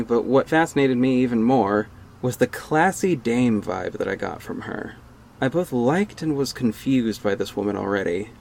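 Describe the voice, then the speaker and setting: A man's voice: medium pitch, vocal fry, slight background noise, close to an everyday voice. A man narrating an audio drama in the first person, with a wry, casual storytelling manner.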